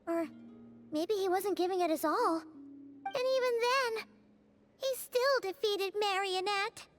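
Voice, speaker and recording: Squeaky, very high-pitched excitable little fairy girl. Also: slow pace. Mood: excited, alarmed. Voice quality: squeaky, childlike. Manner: chatty, exclamatory, questioning. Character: tiny excitable fairy-like girl companion, curious and blunt. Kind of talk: English video game dialogue acting. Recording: music playing behind the voice. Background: background music.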